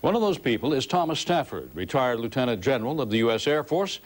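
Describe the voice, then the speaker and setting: A man reads the news in a measured, polished anchor delivery. He moves between scripted narration and conversational questions to his guest. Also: mid-range voice, big pitch swings, crowd noise. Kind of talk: broadcast news narration and interview.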